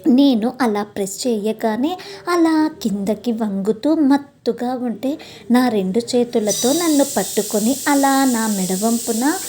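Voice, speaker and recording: A woman's voice: on the high side, a little slow. A woman telling a romantic story in the first person, expressively and speaking straight to listeners. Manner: expressive, addresses listeners directly, acts out dialogue. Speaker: storyteller, channel host.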